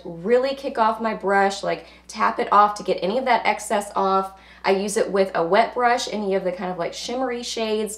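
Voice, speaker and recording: A woman, hoarse from losing her voice, chatting casually through a makeup review and rambling with lots of filler words. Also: medium pitch. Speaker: beauty vlogger.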